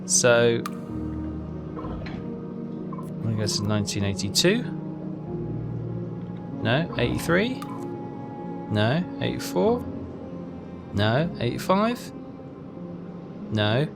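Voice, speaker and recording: A man's voice: medium pitch, sing-song, slow pace, gravelly, long pauses. A man giving casual game commentary and reading text aloud, hesitant and repeating himself as he thinks aloud. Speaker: let's player. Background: game music.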